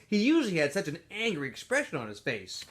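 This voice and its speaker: A man reading a storybook aloud to students in an animated, expressive way, with casual asides to his listeners. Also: a little high, fast talker.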